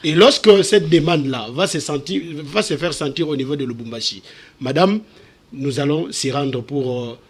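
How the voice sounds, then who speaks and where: A man's voice: on the high side, moderate pace, husky. A man with a Congolese French accent speaking as a guest organiser, explaining things courteously and formally in a television interview. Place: television studio set.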